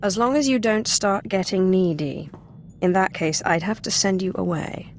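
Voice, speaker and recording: A woman playing a commanding Sith lord in a video game, poised and measured, teasing with dry flirtation. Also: medium pitch, lively intonation, slight background noise, close to an everyday voice. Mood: cool, teasing. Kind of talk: video game character acting.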